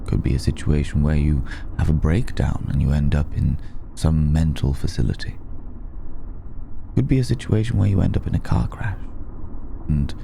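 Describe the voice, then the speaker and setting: A man playing an elegant, centuries-old vampire in an ASMR roleplay, speaking with measured, darkly polite menace and weary hesitation. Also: a little hiss or hum. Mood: calmly menacing. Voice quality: very deep, gravelly, dark. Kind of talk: slow intimate ASMR roleplay addressed to listener.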